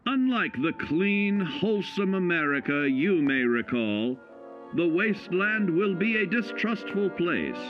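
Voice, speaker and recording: An actor playing a cheerful, folksy narrator of 1950s-style government survival films, selling each point with measured, upbeat emphasis. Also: male voice, high-pitched, very animated, lo-fi sound. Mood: upbeat, instructive. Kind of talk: 1950s instructional film narration. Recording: vintage band-limited film sound, music behind. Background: music.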